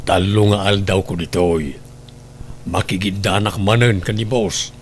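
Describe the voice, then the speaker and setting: A man's voice: low voice, steady tone, a little slow, slight background noise. A man with an Ilocano accent playing a needy, grumbling husband home from working away, in an audio drama.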